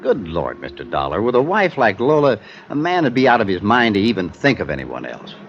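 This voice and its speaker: Low, hesitant, worried businessman. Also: wildly swooping pitch, a little fast. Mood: troubled. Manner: hesitant, earnest, formal. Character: worried, hesitant businessman, senior investment partner. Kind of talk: 1950s radio drama acting. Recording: muffled vintage radio recording, background noise.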